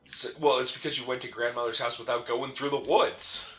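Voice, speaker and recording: A man's voice: medium pitch, steady tone, brisk, a bit bright. A man co-hosting a game playthrough with casual, joking banter that often pokes fun at himself. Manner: joking, self-deprecating. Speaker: co-host of a video game playthrough. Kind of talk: casual banter over gameplay.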